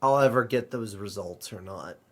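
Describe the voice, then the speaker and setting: A man playing an anxious, weary, homesick space adventurer in an amateur sci-fi audio drama, speaking hesitantly and earnestly, with pauses mid-sentence. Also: fairly low pitch, a bit bright. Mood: anxious, pensive.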